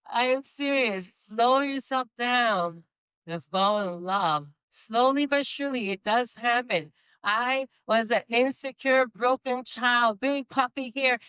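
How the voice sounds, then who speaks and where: A woman with a slight Spanish accent, Spanish being her first language, giving an emphatic inspirational speech and slowing down for key phrases. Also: high-pitched, sharp tone, clear, resonant tone, dry recording, poor audio. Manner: emphatic, slows down for key phrases, laughs between points. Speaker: motivational speaker.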